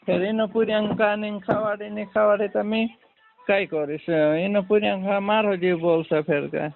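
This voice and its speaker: A man with a creaky voice talking casually on a phone call in a rambling, repetitive way. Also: high voice, flat delivery, moderate pace, soft background music. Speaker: prank call participant. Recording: narrow phone line with faint noise.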